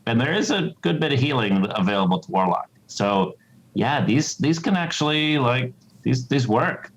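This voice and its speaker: A man giving casual interview answers as a game developer, explaining in a halting way. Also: mid-range voice, expressive, rough recording.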